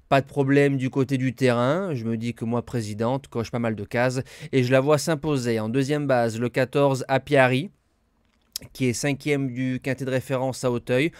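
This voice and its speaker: A man giving horse racing tips, slightly hoarse from a cold, listing his picks in a brisk, matter-of-fact way. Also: medium pitch, a little mellow, no room sound. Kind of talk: betting tips monologue.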